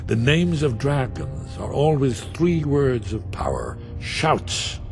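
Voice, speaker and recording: Learned, stern old fantasy archivist, music behind. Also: male voice, mid-range voice, big pitch swings, a little mellow. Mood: eager, explaining. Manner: learned, deliberate, expository. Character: scholarly, stern older male archivist of a warrior order. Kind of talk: fantasy video game voice acting.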